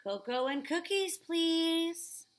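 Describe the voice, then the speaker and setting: A woman's voice: very high-pitched, animated, slow pace, pitched above the performer's own voice, exaggerated voice. A woman voicing an eager little boy from a picture book, chirpy and bouncy in his exclamations. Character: eager, excited little boy who loves rain.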